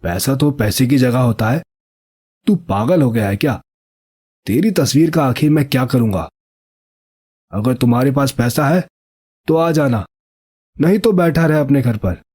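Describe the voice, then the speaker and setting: Man acting as cartoon restaurant owner, gruff, money-minded, scolding, scornful, husky and dark voice. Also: mid-range voice, expressive, unhurried, pauses often. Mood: dismissive. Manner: dismissive, scolding.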